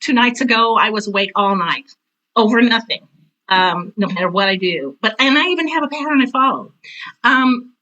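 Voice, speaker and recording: A woman's voice: a little high, lively intonation, moderate pace, pushed and bright, dull audio. An older woman talking casually as an interview guest, with a direct, intense and emphatic way of repeating phrases. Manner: self-described intense, direct. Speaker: guest, theater teacher and author.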